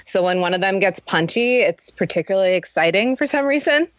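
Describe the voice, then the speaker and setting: A woman's voice: medium pitch, animated, brisk, dry recording, lo-fi sound. A woman speaking as a writer and editor guest on an interview show, in a casual conversational style. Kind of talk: casual interview chat with article reading.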